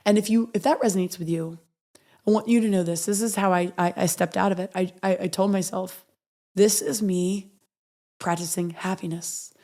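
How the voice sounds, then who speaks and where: A woman with a raspy voice, hoarse from a recent illness, hosts a motivational podcast. She speaks earnestly at a middle pitch. Her affirmations come out quiet and unhurried. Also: steady tone. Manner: earnest, reading affirmations softly and slowly. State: hoarse from recovering after illness. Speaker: podcast host.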